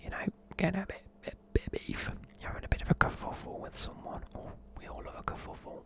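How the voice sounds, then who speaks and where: A man, whispering softly in a chatty, rambling way while explaining words. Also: quick pace. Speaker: ASMR creator. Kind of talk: whispered ASMR explaining slang.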